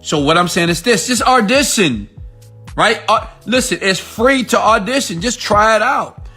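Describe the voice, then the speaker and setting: A man's voice: high-pitched, animated, moderate pace, pushed and bright, husky, soft background music. A man giving an emphatic motivational tutorial as an online coach, checking in with rhetorical questions, recorded on an iPhone. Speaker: online money-making coach. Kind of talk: motivational tutorial pitch to viewers. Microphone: iPhone microphone.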